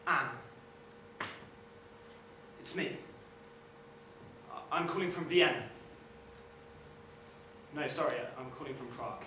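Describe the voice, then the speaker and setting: A man's voice: on the high side, slow, long pauses, sharp tone, heavy reverb, far from the mic, a little hiss or hum, low-quality recording. An actor playing a rambling, hesitant man who stammers through an apology on the phone. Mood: apologetic. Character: flustered apologetic ex-lover on the phone.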